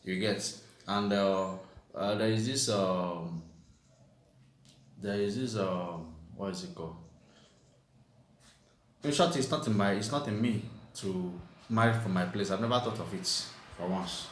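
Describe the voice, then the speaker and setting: A young man from Nigeria chatting with his wife on their channel. He talks calmly and quietly and often checks in with a question. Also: on the low side, measured pace, pauses often. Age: young adult. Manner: calm, soft-spoken, with tag questions. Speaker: vlogger co-host. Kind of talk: casual couple chat. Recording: roomy echo, faint hiss.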